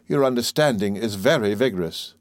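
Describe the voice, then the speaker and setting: A man voicing a timid, gentle, anxious young mother in a Victorian storybook, deferential, apologetic and tentative. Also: lowish voice, expressive, fairly quick, no room sound, clean studio sound, lightly acted. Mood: deferential, placating. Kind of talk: audiobook character in a Victorian novel.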